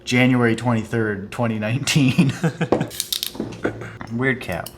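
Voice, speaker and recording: A young man talks casually about drinks with a co-host, explaining at length and joking, his mid-pitched voice often dropping low and quiet at the ends of thoughts. A faint room hum sits behind him. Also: steady tone, slow pace. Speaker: co-host of a snack review show. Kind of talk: casual chat.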